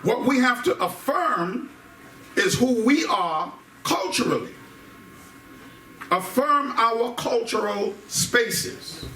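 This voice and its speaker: A middle-aged American man giving an animated public talk, emphatic, repeating phrases for effect with joking asides. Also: extremely high pitch, slow. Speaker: guest speaker giving a talk on faith and identity. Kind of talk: animated public lecture to a live audience. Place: indoor hall with live audience. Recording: echoey room, distant mic, faint background noise.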